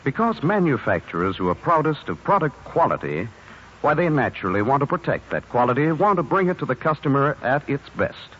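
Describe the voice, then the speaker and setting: A man's voice: medium pitch, sing-song, fairly quick, a bit bright. A man working as an announcer, reading a scripted 1950s radio commercial. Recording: muffled, noisy 1950s radio.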